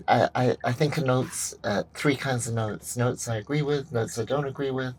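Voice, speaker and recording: A man's voice: medium pitch, a little mellow, rough recording. A man chatting casually and enthusiastically as a vlog host, often repeating himself. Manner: upbeat, repetitive, enthusiastic. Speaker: host, film director. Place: out and about after packing up car.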